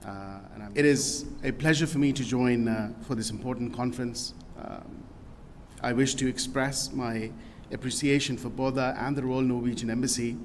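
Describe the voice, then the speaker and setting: A man's voice: medium pitch, fairly even intonation, normal speed. A man delivering a formal prepared speech at a conference, reading in a formal tone. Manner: formal, read from a prepared text. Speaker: official speaker. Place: hotel conference hall. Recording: large hall reverb, faint noise.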